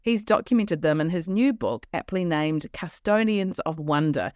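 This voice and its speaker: A woman with an Australian accent hosting a travel podcast, talking with lively enthusiasm and frequent restarts. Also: on the low side, moderate pace, no room sound, studio quality. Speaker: podcast host. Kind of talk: casual interview chat.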